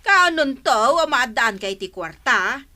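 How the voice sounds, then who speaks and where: A woman's voice: fairly high pitch, big pitch swings, a little slow, bright, forceful tone, exaggerated voice. A woman playing a no-nonsense, sceptical village councilor in a comic radio drama, scolding with an Ilocano accent.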